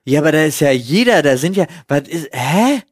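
A man's voice: fairly high pitch, big pitch swings, a little fast, no echo. Guy chatting on a podcast, kinda hesitant explaining, close dry audio. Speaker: podcast host. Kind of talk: casual chat, hesitant explaining.

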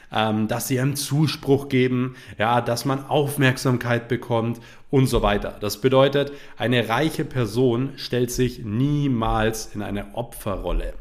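Earnest man with a cold, motivational talk. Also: mid-range voice. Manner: earnest, repetitive, frequent "ja" fillers. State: has a cold. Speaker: podcast host. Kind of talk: motivational podcast monologue.

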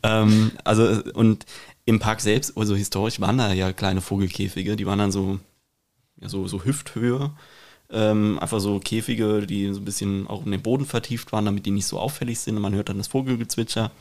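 A man's voice: fairly low pitch, steady tone, a little fast, a little mellow. A man chatting casually as a podcast co-host, explaining things in an anecdotal way. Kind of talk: casual chat.

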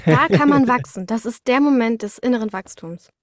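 A young woman chatting casually as a podcast guest, telling things in an expressive, anecdotal way. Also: on the high side, brisk, no room sound, studio quality. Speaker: interview guest, voice actor.

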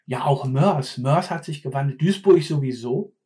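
A man's voice: mid-range voice, moderate pace, mellow. A man, a former mine fitter talking bluntly and casually, often repeating words while he searches for them. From Ruhr area, Germany. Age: 50s. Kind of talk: casual conversation.

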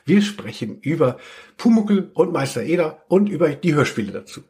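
A man's voice: on the high side, sing-song, moderate pace, a little mellow. A man hosting a podcast in a casual, jokey, rambling chat with a mock-serious streak. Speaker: podcast host.

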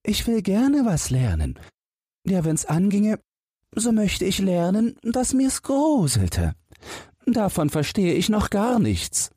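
A dim but fearless fairytale boy, high husky voice, totally earnest and naive. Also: huge pitch swings, slow pace, warm tone, dry recording, clean studio sound, exaggerated voice. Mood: eager. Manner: guileless, puzzled, plain-spoken. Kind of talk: fairy-tale audiobook character voice.

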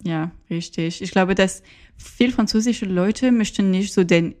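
A woman's voice: medium pitch, darkish tone. A woman from Paris speaking German as a second language with a French accent, a podcast guest in casual chat who halts and searches for words. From Paris, France. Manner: halting, searching for words, many fillers.

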